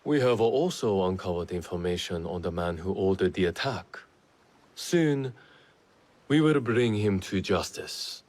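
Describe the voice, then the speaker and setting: An actor playing a resolute, measured samurai lord in a video game, speaking formally and gravely. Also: male voice, deep voice, animated, a little mellow. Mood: grave, accusing. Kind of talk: dramatic video game acting.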